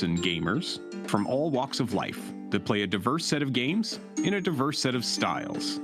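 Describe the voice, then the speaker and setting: A man talking casually as a tabletop game player and show announcer. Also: medium pitch. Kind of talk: casual, improvised talk.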